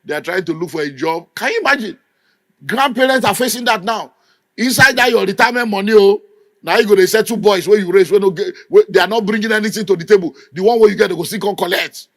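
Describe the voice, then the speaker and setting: A man's voice: sits high, fast, fairly bright tone, no echo, studio quality. A middle-aged Nigerian man preaching a sermon with an emphatic, scolding delivery. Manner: emphatic, scolding, mimics people in quotes. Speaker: pastor.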